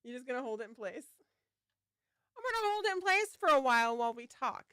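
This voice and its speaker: A woman's voice: high voice, big pitch swings, fast talker, sharp tone, clear, resonant tone, no echo, clean studio sound. A woman hosting a casual livestream for her shop, chatty and a little scattered, with a slightly nasal voice from a head cold. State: getting over a head cold. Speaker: yarn shop owner hosting a launch livestream.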